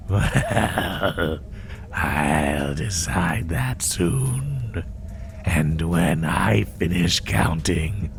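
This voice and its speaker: A sadistic spider monster played by a man stalks its prey in a horror audio roleplay. The voice is extremely low and crackles with fry, often sinking into a breathy whisper. It draws out its taunts very slowly and with cold menace. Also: full character voice. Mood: cold taunting. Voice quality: deep breathy, gravelly half-whisper. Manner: slow, taunting, menacing, drawn-out phrases. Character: sadistic male spider monster hunting prey through the woods.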